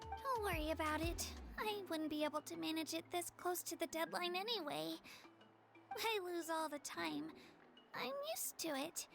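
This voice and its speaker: A woman's voice: very high-pitched, moderate pace, creaky, soft background music, exaggerated voice. A woman voicing an eager, earnest young girl warrior in a game cutscene, sincere and self-effacing. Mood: self-doubting. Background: loud game soundtrack.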